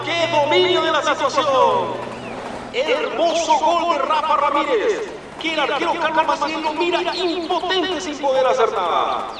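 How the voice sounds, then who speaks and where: High-pitched excited match announcer, echoey distant stadium sound, noisy, radio play. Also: male voice. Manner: rapid, shouting goal calls. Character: excited male football radio commentator, adult. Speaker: match commentator. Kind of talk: radio drama sports commentary.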